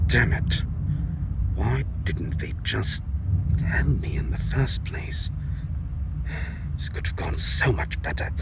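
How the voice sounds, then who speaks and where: Guy doing a brooding vampire lord, dark fantasy roleplay, super low voice, slow n formal, noisy recording. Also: exaggerated voice. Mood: regretful. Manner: slow, deliberate, formal, breathy half-whispered phrasing. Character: brooding male vampire lord, merciful, menacing, lovelorn.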